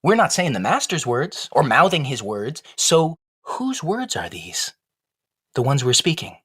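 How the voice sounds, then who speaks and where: A man playing a philosophical wooden ventriloquist dummy in a comic audio play, an insistent, questioning underdog with a husky voice. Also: medium pitch, wildly swooping pitch, a little slow, a bit bright, exaggerated voice. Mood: puzzled, pondering.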